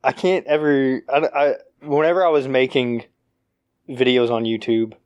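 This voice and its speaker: A man's voice: mid-range voice, fairly even intonation, moderate pace, darkish tone, clean tone, no echo, lo-fi sound. A young man co-hosting a podcast in casual chat, rambling with lots of fillers and restarts. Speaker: podcast co-host.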